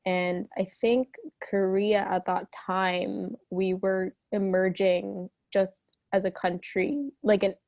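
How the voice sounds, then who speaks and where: A woman's voice: mid-range voice, slow, a little mellow, muffled recording. A young adult woman from New York, a guest in an interview, answering thoughtfully with small laughs. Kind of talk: casual interview chat.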